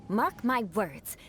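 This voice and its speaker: A woman's voice: fairly high pitch, a little hiss or hum, full character voice. A woman voicing a proud, prickly young noblewoman knight in an anime video game, formal and dramatically vengeful. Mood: haughty challenge. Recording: game music behind voice.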